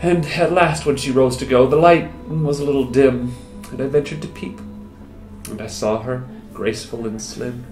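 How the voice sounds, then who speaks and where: A man in his thirties voicing a wistful, lovestruck wounded soldier in a theatrical, halting dramatic poetry recital with expressive pauses. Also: medium pitch, lightly acted. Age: 30s. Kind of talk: dramatic poetry recital, first-person monologue. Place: small indoor room with hard walls. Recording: roomy echo, music behind.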